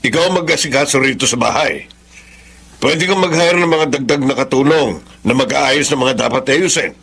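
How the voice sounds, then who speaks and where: A man's voice: medium pitch, normal speed, fairly bright tone, husky. An actor with a Filipino accent playing a stern, domineering older father in a radio drama. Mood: commanding. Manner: commanding, code-switches into English. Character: stern, domineering wealthy father, socially jovial.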